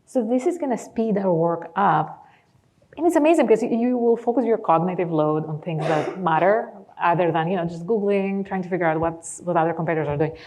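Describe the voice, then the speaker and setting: A woman's voice: fairly low pitch, a little fast, darkish tone. A woman from Greece with a Greek accent, speaking enthusiastically as a panelist in a discussion. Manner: enthusiastic, with frequent fillers.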